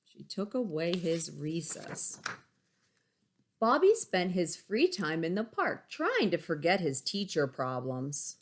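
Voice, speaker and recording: Unhurried low-voiced woman reading to children. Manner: expressive, chatty, addressing young pupils directly. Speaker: elementary school teacher. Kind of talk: children's picture book read-aloud with casual asides.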